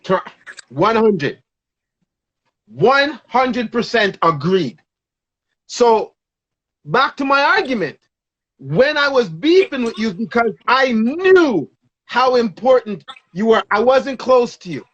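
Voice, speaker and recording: A man's voice: very high voice, big pitch swings, slow, pauses often, fairly bright tone, dull audio. A man chatting casually as a show host, full of slang and repeating phrases for emphasis. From Toronto, Canada. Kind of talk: casual, slangy reminiscing with a guest.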